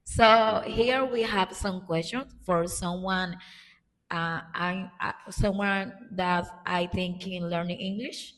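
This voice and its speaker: Low-voiced woman, echoey. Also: fairly even intonation, fairly bright tone. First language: Spanish. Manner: halting, searching for words in English. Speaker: interviewer. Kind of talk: informal interview questions. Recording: echoey reverberant room.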